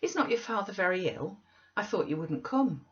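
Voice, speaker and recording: Woman playing frightened young man, raised pitch, abject, pleading, strained and halting, audiobook character, slightly lo-fi sound. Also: very animated, natural voice. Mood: fearful, halting. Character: frightened, sickly, self-loathing young man, whining and pleading.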